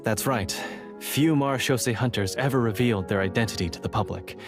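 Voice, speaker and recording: An actor playing an earnest, theatrical and repentant monster hunter in a fantasy video game, with a solemn, formal manner. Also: male voice, medium pitch, brisk, over music, lightly acted.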